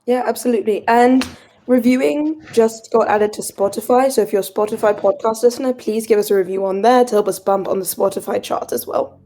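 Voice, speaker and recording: A woman's voice: on the high side, a little fast, dark tone, slight background noise. A woman chatting casually as a player on a tabletop podcast. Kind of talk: casual chat.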